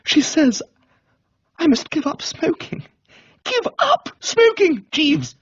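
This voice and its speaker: A man playing an excitable, dim but amiable upper-class English young gentleman, plummy and flustered, in a comic radio reading. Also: very high-pitched, takes it slowly, no echo, lo-fi sound, voice pushed up, exaggerated voice. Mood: flustered, aggrieved.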